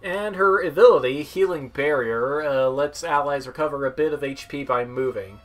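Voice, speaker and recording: A young man giving casual, offhand gameplay commentary as he demos game characters, with a hesitant, halting delivery. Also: on the high side. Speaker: gamer demoing video game characters. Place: indoor room at home, seated. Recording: game music behind the voice. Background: video game music.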